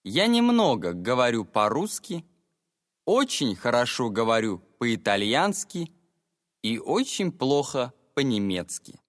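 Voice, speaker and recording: Guy reading exam lines slowly, pitch moving around a lot, dry mic with a long reverb tail. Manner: clear, expressive exam-style delivery. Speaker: voice actor for a listening exam. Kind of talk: slow, carefully read exam monologue.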